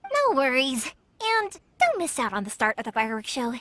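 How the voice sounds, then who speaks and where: An actress playing a cheerful, lively young fireworks maker in a video game, talking in a playful, warm-hearted way. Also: female voice, sits high, moderate pace, heavily acted. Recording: game soundtrack music faint behind.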